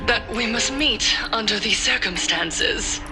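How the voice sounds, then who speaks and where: An actress playing a formal television broadcaster in a video game, giving a poised announcement over music. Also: female voice, on the high side, takes it slowly, rough recording. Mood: solemn.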